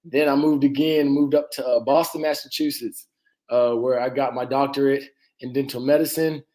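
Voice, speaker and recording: A man in his late twenties from Atlanta, a dentist guest speaking in a relaxed, casual way with frequent fillers and easy laughter. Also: mid-range voice, normal speed, low-quality recording. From Atlanta, Georgia. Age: 20s. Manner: relaxed, laughs easily, frequent "uh" and "you know". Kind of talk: casual interview talk.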